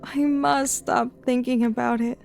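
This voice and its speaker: A woman playing a troubled, weary high school girl in an audio drama, brooding and talking to herself. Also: level tone, unhurried, vocal fry, darkish tone, slight background noise. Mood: anguished, weary.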